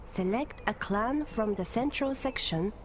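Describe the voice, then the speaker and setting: A woman voicing a game's tutorial advisor in calm, measured, scripted narration. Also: fairly high pitch, a little hiss or hum. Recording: game audio with music.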